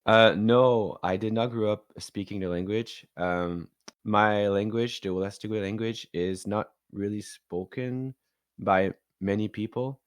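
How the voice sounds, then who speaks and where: A man's voice: on the low side, a little slow, dry recording, clean studio sound. A man with a Quebec French accent, a native French speaker, talking casually as a podcast guest. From Quebec, Canada. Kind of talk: casual conversational talk.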